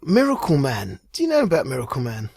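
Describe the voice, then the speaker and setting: A man's voice: medium pitch, huge pitch swings, quick pace, creaky, a little mellow, a little hiss or hum. A man hosting a podcast in casual chat, enthusiastic and rambling as he searches aloud for his words. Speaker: podcast host. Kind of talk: casual chat about comics.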